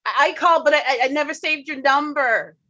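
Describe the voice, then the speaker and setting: A woman's voice: high voice, brisk, sharp tone. An actress playing a flustered, chatty out-of-work theater woman in a play reading, stammering and repeating herself effusively. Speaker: actor in a staged play reading. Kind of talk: naturalistic dramatic dialogue, read online. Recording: dull, lo-fi online call audio.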